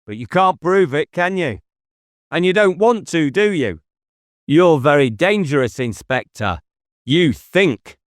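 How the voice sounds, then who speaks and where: Sly, threatening woodsman, a male reader acting, well raised pitch, faint Cornish burr, taunting intensity, audiobook villain. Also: expressive, unhurried, no room sound, studio quality. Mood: sly, accusing. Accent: subtle Cornish burr. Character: menacing, sly male woodland murder suspect with bow. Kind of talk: audiobook character dialogue.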